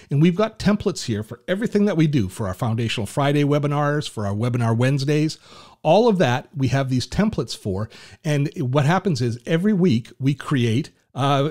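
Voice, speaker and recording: A Canadian man in his fifties hosting a screen-share software tutorial from his home office, chatty and enthusiastic with self-deprecating asides. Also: on the high side. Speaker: tech channel host. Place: home office with shelves and artwork. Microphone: large studio mic on a boom arm.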